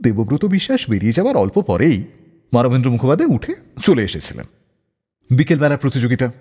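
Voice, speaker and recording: A man hosting a radio show, telling anecdotes in a dramatic, expressive scripted style. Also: medium pitch, fast, breathy edge. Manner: expressive, acts out quoted dialogue. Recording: long reverb on the voice.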